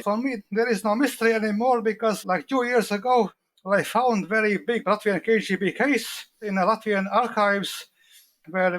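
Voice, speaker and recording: Male historian guest, high register, non-native English, emphatic explanatory answers. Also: a bit bright. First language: not English.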